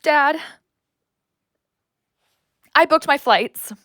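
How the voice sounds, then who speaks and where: Actress as a higher-voiced young daughter. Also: female voice, very slow, sharp tone, no echo, studio quality, full character voice. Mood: casual, firm. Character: young daughter talking with her father. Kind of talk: audio drama dialogue.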